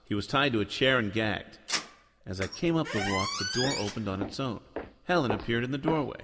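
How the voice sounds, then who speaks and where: An actor playing a sardonic, deadpan noir detective who both narrates and talks in a radio drama. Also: male voice, mid-range voice, fairly quick, background noise, lightly acted. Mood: brooding narration. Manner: sardonic, deadpan, world-weary. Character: cynical wisecracking hardboiled male noir detective, Joe Smoke.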